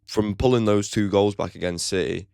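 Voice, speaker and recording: Man, deep voice, gravelly fry, dry close sound, blunt opinionated football vlogger. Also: steady tone, normal speed, darkish tone, dry recording. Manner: opinionated and blunt, swears casually; chatty, with frequent "like" fillers. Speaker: football fan channel host. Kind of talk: football match preview vlog.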